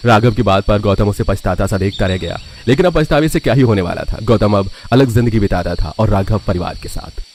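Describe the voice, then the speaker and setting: A man's voice: on the low side, a little fast, hardly any pauses, a little mellow, a little hiss or hum. A man narrating a scripted family story.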